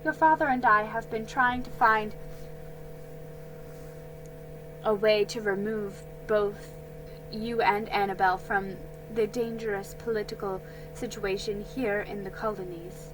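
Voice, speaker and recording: Woman doing a soft gentle colonial mother. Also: medium pitch, steady tone, measured pace, over music, close to an everyday voice, low-quality recording.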